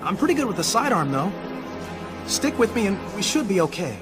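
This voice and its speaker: A woman's voice: mid-range voice, sing-song, brisk, natural voice. A woman playing an anxious but plucky young rookie cop in a video game. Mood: nervous, plucky. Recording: music behind the voice.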